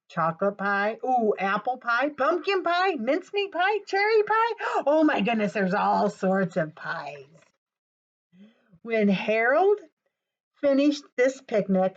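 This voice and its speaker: A woman reading a picture book aloud to young children as a storytime presenter, expressive and gasping for suspense, often putting questions straight to her listeners. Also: a little high, muffled recording. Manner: expressive, gasps for suspense, addresses children directly.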